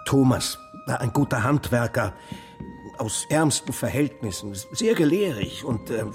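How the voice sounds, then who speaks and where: An actor playing an anxious, conscientious master printer in a German radio drama, hesitant yet emphatic on key words. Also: male voice, medium pitch, lively intonation, unhurried, soft background music. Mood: troubled, insistent. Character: anxious, conscientious master printer, faint-hearted but stubborn man.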